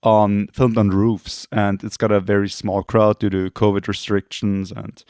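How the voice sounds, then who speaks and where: A slightly hoarse man co-hosting a podcast, talking in a rambling, hesitant way and often correcting himself. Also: on the low side, little pitch movement, unhurried, darkish tone, no room sound, studio quality. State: losing his voice, throat clearing. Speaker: podcast co-host. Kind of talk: casual chat.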